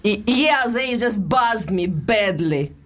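A young adult woman from Russia with a Russian accent, talking in a casual interview in halting, broken English with frequent restarts. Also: medium pitch, expressive, a bit bright. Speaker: guest, boxer and musician.

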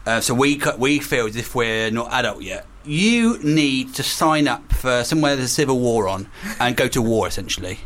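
A man co-hosting a comedy talk show, cracking deadpan absurd jokes in casual banter. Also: medium pitch, normal speed, hardly any pauses. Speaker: co-host. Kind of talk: comic banter.

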